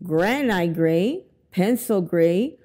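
A middle-aged woman reading a children's picture book aloud at storytime, expressive and deliberate, as if to young children. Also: on the low side. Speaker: library storytime reader. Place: indoors in a library, among bookshelves. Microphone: lapel mic.

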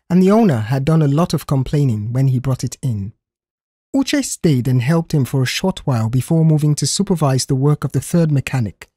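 A man reading scripted story narration in an expressive, careful storytelling manner. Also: mid-range voice, husky, dry recording, studio quality. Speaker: audiobook narrator.

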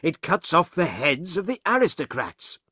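A man voicing a banker who gravely delivers alarming news in measured, emphatic sentences, in an audiobook. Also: a little high, big pitch swings, sharp tone, dry recording, studio quality, pitched above the performer's own voice, full character voice. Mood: grave, urgent.

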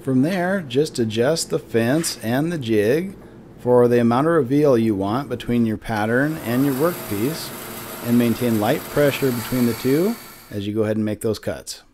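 A man's voice: medium pitch, normal speed, darkish tone, slight background noise. A man in his forties giving a friendly step-by-step woodworking lesson in his wood shop. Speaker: woodworking instructor. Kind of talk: instructional shop tutorial. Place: wood shop with lumber racks, indoors.

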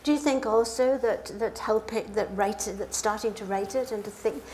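A woman's voice: fairly high pitch, normal speed, a little mellow. A woman chairing a live book event, asking questions in a conversational way. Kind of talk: conversational on-stage interviewing. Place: small dark stage before a seated audience. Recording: noisy live event room recording.

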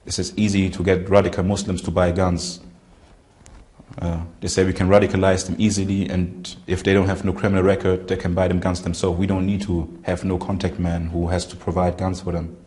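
A man, recounting events haltingly in an interview and searching for words. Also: sits low, little pitch movement, a little mellow. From Germany. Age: 20s. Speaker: interviewee, former militant. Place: indoor room with barred windows.

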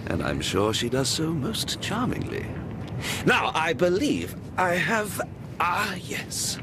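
An actor playing a charming, vain Victorian stage star in a radio drama, with a theatrical, courtly manner. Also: male voice, fairly high pitch, sing-song, slow, background noise. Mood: gallant charm.

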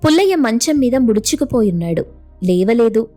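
Mellow, slightly high female storyteller. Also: animated, normal speed, soft background music. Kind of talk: scripted moral-story narration.

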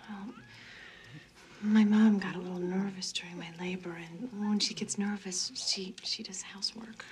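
An actress playing a new mother who gently apologizes to her husband and speaks softly to him. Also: medium pitch, steady tone, normal speed, a little hiss or hum, natural voice. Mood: soothing. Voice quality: soft, soothing, apologetic. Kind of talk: film drama acting.

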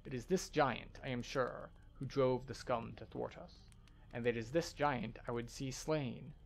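A man's voice: mid-range voice, steady tone, a little slow, vocal fry. A man voicing a vengeful, imperious expedition leader from a fantasy game, haughty and dramatic in his commands.

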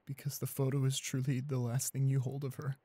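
A man acts out a shy, clumsy young boyfriend in an intimate audio roleplay. His voice is mellow and soft, recorded close and dry. He is anxious and devoted, hesitating and stammering as he speaks. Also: mid-range voice, monotone, a little fast, no echo, close to an everyday voice. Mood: apologetic, uncertain. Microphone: close studio condenser microphone.

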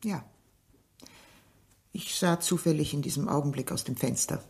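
A woman's voice: low-pitched, moderate pace, a little mellow. An actress playing a guarded, uneasy middle-aged village mother in a German radio play, terse and defensive in her answers.

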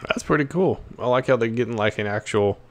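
A man's voice: mid-range voice, quick pace. A man hosting a gaming video, thinking aloud in easygoing, casual commentary.

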